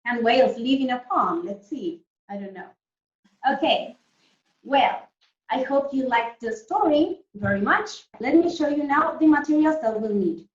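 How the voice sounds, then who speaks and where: A woman with a fairly high voice teaches young children in an interactive lesson. She explains in simple terms and keeps asking short check questions. The sound is echoey and somewhat muffled. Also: expressive, unhurried. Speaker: primary school teacher leading an online lesson. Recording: echoey room, distant muffled mic.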